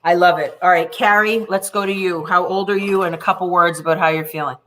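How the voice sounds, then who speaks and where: A woman's voice: fairly low pitch, fairly even intonation, a little fast, a bit bright, slight background noise. A woman in her forties moderating a panel over a video call, introducing guests in an effusive, encouraging way. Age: 40s.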